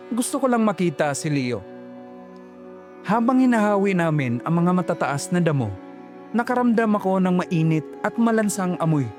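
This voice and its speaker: A man's voice: a little high, a little mellow. A young man narrating a first-person horror story in a tense, suspenseful way.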